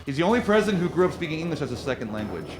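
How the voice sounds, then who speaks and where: A man hosting a live charity gaming marathon, his voice bouncing high and low as he teases his co-hosts and reads out donations. He talks fast and casually, quick to laugh. Game music plays clearly behind him. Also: sing-song, rough recording. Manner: playful, teasing, quick to laugh. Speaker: marathon co-host reading donations. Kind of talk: casual live-stream banter.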